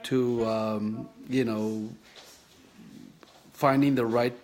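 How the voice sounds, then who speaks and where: A man in his fifties from the Philippines with a Filipino accent, telling his life story in a halting, reflective way with many fillers and restarts. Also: medium pitch, little pitch movement, a little mellow, rough recording. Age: 50s. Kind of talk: personal storytelling.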